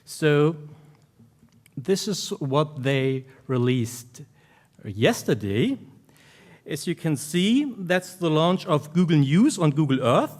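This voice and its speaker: A man with a German accent giving an academic conference presentation, speaking in halting, informal phrases as a researcher. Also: a little high.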